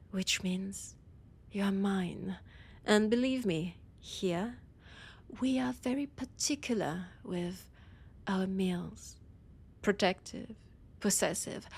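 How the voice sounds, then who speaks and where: Breathy French-accented vampire woman, acted by a woman, slow-spoken. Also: mid-range voice, full character voice. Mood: possessive, menacing. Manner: theatrical, slow, dramatic pauses, breathy near-whispers. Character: annoyed, possessive female vampire scholar, ancient library guide. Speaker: audio roleplay performer. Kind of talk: scripted immersive audio roleplay monologue.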